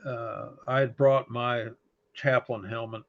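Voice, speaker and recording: A middle-aged man with a slight Texas accent, a fire service chaplain recounting memories in an interview, unhurried and halting with frequent pauses and fillers. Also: medium pitch, steady tone, creaky. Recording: dull lo-fi audio.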